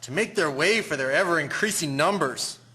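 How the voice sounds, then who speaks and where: A man acting as a pious, dramatic old-time doctor in a radio-style play. The voice is bright and very animated, rising and falling widely. His delivery is emphatic and stagey. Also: on the high side, fairly quick. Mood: horrified. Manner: theatrical, emphatic. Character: grave, dramatic male Victorian doctor, baffled and pious. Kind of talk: scripted audio drama acting.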